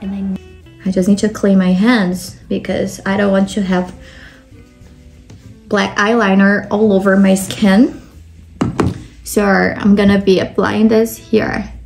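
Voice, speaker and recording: A young Brazilian woman with a Brazilian Portuguese accent speaks English as her second language, talking viewers through a makeup look one step at a time. Her voice sits at an everyday middle pitch, with fillers dropped in as she works. Soft music plays faintly underneath. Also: little pitch movement, slow pace, vocal fry, long pauses. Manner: step-by-step explaining, frequent "like" fillers. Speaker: makeup vlogger. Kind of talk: makeup tutorial talk.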